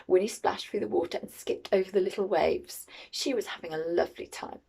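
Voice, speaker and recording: A woman reading a picture book aloud to children in an expressive, teacherly, questioning way. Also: on the low side, fairly quick, creaky, breathy edge.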